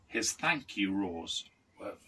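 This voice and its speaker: A man's voice: deep voice, sing-song, takes it slowly, sharp tone, a little hiss or hum. A middle-aged man reading a children's picture book aloud expressively, as a teacher would. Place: small wooden room, indoors.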